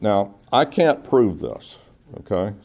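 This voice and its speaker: A man preaching in a church service, reading Bible passages and explaining them in a measured, teacherly way as he reasons aloud. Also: fairly low pitch, big pitch swings, darkish tone.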